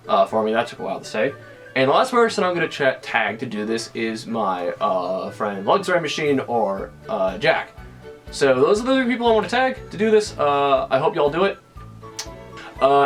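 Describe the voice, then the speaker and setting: A nineteen-year-old man talking casually to camera in a vlog, rambling with many restarts and fillers. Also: on the high side. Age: young adult. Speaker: YouTuber.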